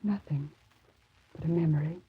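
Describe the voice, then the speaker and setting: An actress playing a grieving, devoted fiancee with halting, fervent delivery in a 1930s radio drama. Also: female voice, low-pitched, breathy edge. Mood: reverent grief. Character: grieving, devoted bereaved fiancee, pleading and reverent. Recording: muffled, tinny 1930s radio recording.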